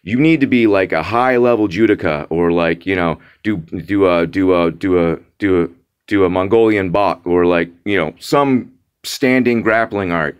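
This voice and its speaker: Young man, lowish voice, vlog rant: exasperated, mocking, stop-start delivery with lots of repetition. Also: moderate pace, clear, resonant tone, muffled recording. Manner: exasperated, mocking, repeats words for emphasis; frequent restarts and fillers. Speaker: vlogger. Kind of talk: casual rant to camera.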